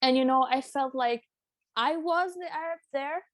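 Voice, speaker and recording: A woman's voice: high voice, no room sound, studio quality. A young woman from Venezuela talking casually as an interview guest, laughing often and restarting her sentences. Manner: frequent laughter, halting restarts.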